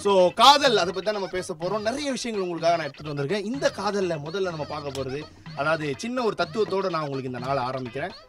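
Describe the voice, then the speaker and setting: A young man hosting a comic radio phone-in in a chatty, excitable, mock-formal way. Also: high-pitched, fast. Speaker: radio show host.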